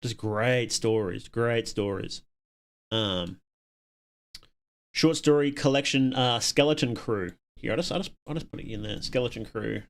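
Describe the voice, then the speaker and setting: A man's voice: mid-range voice, unhurried. A man hosting a casual livestream, chatting to viewers and thinking aloud in a rambling, opinionated way. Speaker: livestream host.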